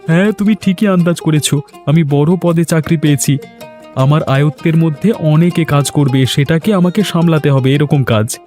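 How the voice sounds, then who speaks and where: A man playing an earnest, modest young adult son in a village moral-story cartoon, speaking in a measured way. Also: a little high, a little mellow, soft background music, natural voice. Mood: happy, proud.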